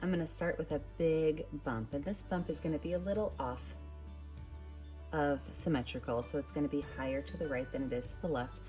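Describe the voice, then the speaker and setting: A woman's voice: sits low, fairly quick, warm tone. A woman art teacher hosting an instructional step-by-step tutorial, addressing viewers in a friendly, direct way. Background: background music.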